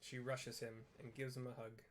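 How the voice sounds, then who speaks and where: A man's voice: medium pitch, level tone, moderate pace. Chatty male gamer, faint background noise. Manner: chatty, rambling asides. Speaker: gamer, let's player. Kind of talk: casual running game commentary. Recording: faint noise, room ambience.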